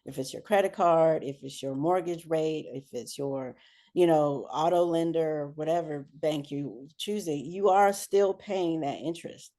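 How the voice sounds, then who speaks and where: A woman giving conversational interview answers as a guest, often pausing mid-sentence. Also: low voice, dark tone. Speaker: interview guest, air traffic control supervisor and businesswoman.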